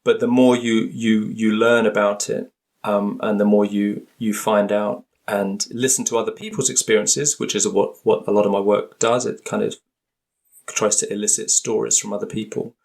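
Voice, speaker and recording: Low-voiced British man, hesitant and thoughtful. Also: little pitch movement, normal speed, creaky, darkish tone. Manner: hesitant, thoughtful, frequently rephrasing. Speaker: interview guest, linguist and author. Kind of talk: conversational podcast interview.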